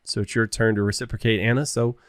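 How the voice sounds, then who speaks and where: A man's voice: on the low side, flat delivery, fast talker, dry recording, studio quality. A man hosting a podcast interview, easygoing and self-deprecating in manner. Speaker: podcast host.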